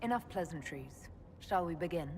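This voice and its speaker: Woman as game heroine: bold young mage leader, blunt and firm, lowish voice, reverberant room. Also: lively intonation, moderate pace, darkish tone, slight background noise, close to an everyday voice. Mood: cool defiance. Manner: firm, direct, plain-spoken. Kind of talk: video game acting. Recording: long hall reverb, faint music behind.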